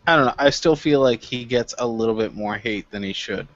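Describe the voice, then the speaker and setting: Mid-pitched man in dry, muffled audio. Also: brisk, no echo. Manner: informal, mildly profane. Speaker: review show co-host. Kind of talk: casual chat.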